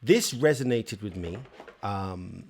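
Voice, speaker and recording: A man hosting an interview and asking questions in a hesitant way, with many pauses and restarts. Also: fairly low pitch, lively intonation, creaky, a little mellow. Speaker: interview host. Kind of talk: casual interview.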